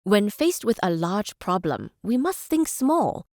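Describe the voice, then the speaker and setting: An actress playing a measured, idealistic chancellor and scholar, speaking formally and deliberately in scripted game speeches. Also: female voice, medium pitch, sing-song, darkish tone, dry recording, studio quality. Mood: measured, thoughtful.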